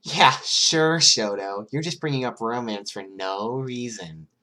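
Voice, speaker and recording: A teasing, excitable young villainess from an anime fan story , voiced by a male reader, pitched well above his natural register. The delivery is chatty and playful. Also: a little slow.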